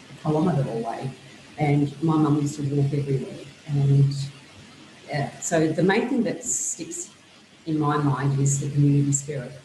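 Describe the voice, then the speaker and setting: Soft-spoken author woman, echoey room. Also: deep voice, background noise. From Australia. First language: Greek. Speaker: author being interviewed. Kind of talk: reflective interview answers.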